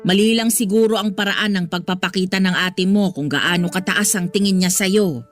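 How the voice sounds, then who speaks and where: A woman with a Filipino accent playing a patient, gently firm middle-aged mother in a radio drama. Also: medium pitch, moderate pace, faint music behind, lightly acted. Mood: soothing. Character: patient, protective middle-aged mother soothing her daughter.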